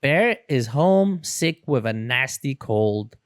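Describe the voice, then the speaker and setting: A narrator as a kindly, animated gentleman mole. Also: mid-range voice, slow, no echo, clean studio sound. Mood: explaining. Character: kindly, playful grown-up male mole. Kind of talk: children's storybook character voice.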